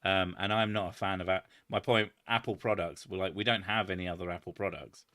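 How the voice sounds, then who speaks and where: A man hosting a casual chat, loud and booming, swearing freely as he answers viewer comments. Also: fairly even intonation, brisk, no room sound, clean studio sound. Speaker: channel host.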